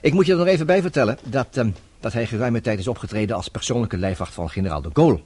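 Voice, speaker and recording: A man's voice: mid-range voice, animated, fast talker, darkish tone, breathy edge. An actor playing a worldly, self-assured man who advises his troubled friend in a chatty, teasing radio drama style. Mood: brisk, wry.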